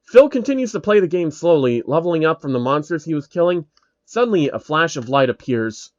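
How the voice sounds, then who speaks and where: A man narrates a written story with the odd aside. His voice sits a little high and moves around a lot in pitch. The sound is close and dry, slightly dull and lo-fi. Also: big pitch swings, brisk, darkish tone, no room sound. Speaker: narrator reading a fan fiction story.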